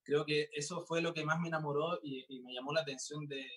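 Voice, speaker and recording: A man's voice: on the high side, fairly even intonation, fairly quick, a bit bright. A young man, a singer chatting in an interview, upbeat and full of fillers and restarts. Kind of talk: casual interview chat.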